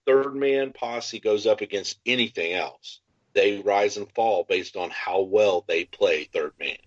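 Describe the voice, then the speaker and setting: A man's voice: fairly low pitch, measured pace, dry recording, highs cut off. A man co-hosting a gaming podcast, talking strategy casually and emphatically, restating his points. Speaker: podcast co-host. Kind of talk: casual tabletop game strategy talk.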